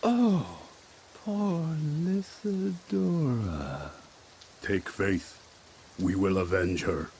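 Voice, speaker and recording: An actor playing a grieving, vengeful villager with a gravelly voice in an audio drama. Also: male voice, fairly low pitch, very slow, darkish tone, slight background noise. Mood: mournful.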